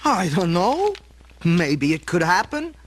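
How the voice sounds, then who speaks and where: A man's voice: high-pitched, big pitch swings, takes it slowly, exaggerated voice. A man playing a gullible, dreamy younger brother who works as a plumber, earnest and rambling, in a radio play. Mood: musing, earnest.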